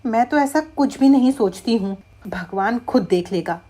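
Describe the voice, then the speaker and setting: A woman voicing a caring, practical village wife in an animated moral story, emphatic and coaxing. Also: on the high side, normal speed. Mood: coaxing, insistent.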